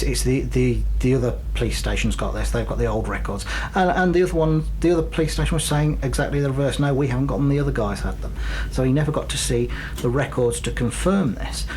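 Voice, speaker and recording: A man co-hosting a radio show, recounting a tale informally and explanatorily, often halting and doubling back on his words. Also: mid-range voice, a little mellow, slight background noise, poor audio. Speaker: radio co-host. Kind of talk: informal spoken storytelling, explanatory.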